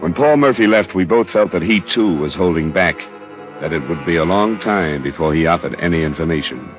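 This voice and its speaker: A man's voice: low voice, animated, brisk, music in the background. A man playing a cool, direct insurance investigator in a vintage radio crime drama, clipped and matter-of-fact. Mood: dry narration. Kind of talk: 1940s-50s radio crime drama, narration and dialogue. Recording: dull vintage radio recording.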